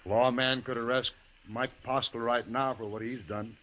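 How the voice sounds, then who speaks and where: A man's voice: medium pitch, steady tone, moderate pace, a bit bright, close to an everyday voice, rough recording. A man playing a plain-spoken, stubborn American saloon keeper in an old-time radio western. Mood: folksy, confiding. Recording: hissy old radio recording.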